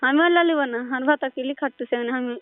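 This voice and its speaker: High-pitched woman with rural Bengali dialect, phone line. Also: steady tone, brisk, dry recording. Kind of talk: casual flirtatious phone chat. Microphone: telephone. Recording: muffled narrow-band phone call.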